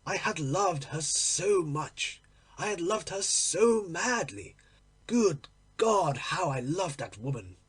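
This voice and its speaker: A man voicing a tormented gentleman in love, impassioned and demanding, in a storybook reading. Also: fairly high pitch, big pitch swings, slow pace, husky, full character voice. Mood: anguished. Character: infatuated, tormented English gentleman lover, impassioned.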